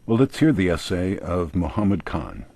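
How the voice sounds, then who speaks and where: A male host presents conversationally in a deep, husky voice. His intonation is lively and moves around a lot, and the sound is dry. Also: moderate pace, no room sound.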